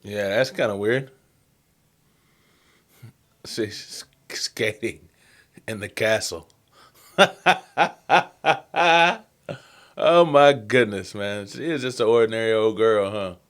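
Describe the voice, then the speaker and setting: Low-voiced man, casual reaction commentary. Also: animated, takes it slowly, pauses often, fairly bright tone. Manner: colloquial, slangy. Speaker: reaction video host.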